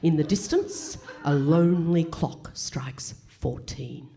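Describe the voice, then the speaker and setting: A woman playing a straight-faced announcer, narrating absurd scripted radio comedy in a formal, deadpan manner. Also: sits low, slow. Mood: mock-solemn. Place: hall stage, live performance.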